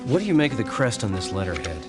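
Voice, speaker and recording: American 40s gumshoe type, fast questions, dry and skeptical, game drama, loud music behind. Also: male voice, lowish voice, sing-song. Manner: direct questioning, dry sarcasm. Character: inquisitive, skeptical 1940s male government investigator. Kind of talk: video game cutscene dialogue.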